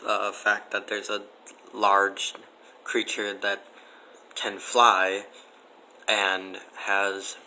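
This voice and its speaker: Sharp-voiced, skeptical man in casual debate. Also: lowish voice, completely flat delivery, poor audio. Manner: skeptical, argumentative, halting. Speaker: podcast guest.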